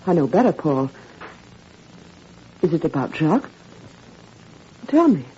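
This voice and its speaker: A woman's voice: deep voice, big pitch swings, unhurried, mellow. An actress playing a distraught wife and mother, imploring and melodramatic in 1940s radio style. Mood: worried. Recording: muffled, hissy 1940s radio recording.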